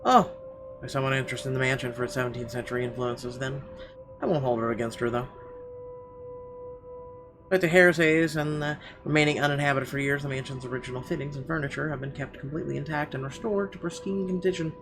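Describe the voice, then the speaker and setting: A man's voice: medium pitch, steady tone, quick pace. A man doing a let's play, reading visual novel narration aloud expressively with occasional stumbles. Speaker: let's player. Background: music.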